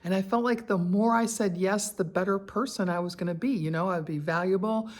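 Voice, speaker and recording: A woman, talking candidly in a personal vlog, chatty and quick to laugh, with many asides and fillers. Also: medium pitch, a little mellow. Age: 60s. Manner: conversational with frequent fillers and asides. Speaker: lifestyle vlogger.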